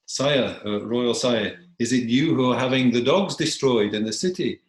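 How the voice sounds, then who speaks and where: A man's voice: mid-range voice, normal speed, reverberant, lightly acted. A man voicing a wise, bold storybook dog who courteously and formally admonishes a king. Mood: respectful questioning.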